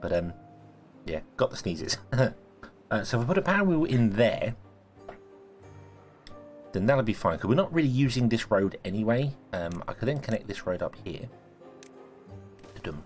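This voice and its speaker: A deep-voiced man talks through a city-building game, rambling and correcting himself as he goes. His voice is a little croaky from a cold, and soft music plays faintly underneath. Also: big pitch swings, moderate pace, pauses often. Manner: thinking aloud, rambling, self-correcting. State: croaky, sneezy voice. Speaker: gaming video host. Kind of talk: casual let's-play commentary.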